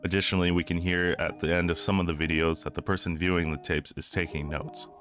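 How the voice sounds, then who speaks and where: Very deep-voiced man narrating over music. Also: little pitch movement, fast talker, a bit bright. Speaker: video essay narrator. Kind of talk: scripted analytical narration.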